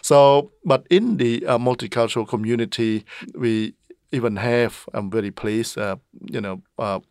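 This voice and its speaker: Middle-aged man, mid pitch, halting earnest interview delivery, dry close recording. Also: darkish tone, smooth, dry recording. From Cambodia. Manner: earnest, halting, with frequent "uh" pauses. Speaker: state member of parliament. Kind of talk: interview answers.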